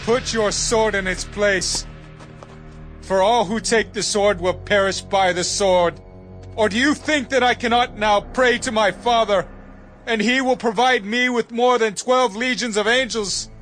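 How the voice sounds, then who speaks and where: Grave, commanding holy teacher, earnest audio-drama delivery, music clearly underneath. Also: male voice, sits high, steady tone, unhurried, fairly bright tone. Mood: stern, impassioned. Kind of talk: dramatized audio Bible acting.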